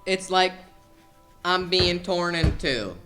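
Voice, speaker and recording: A man's voice: high voice, lively intonation, takes it slowly, music in the background. A young man playing an exasperated, self-pitying middle-aged mob boss in a comic student radio play, whiny and blustering. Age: young adult. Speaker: actor in a student audio play.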